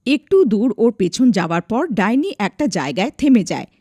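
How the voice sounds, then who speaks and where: A woman narrating a scripted animated folk tale as its storyteller. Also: mid-range voice, a little fast, dry recording, studio quality.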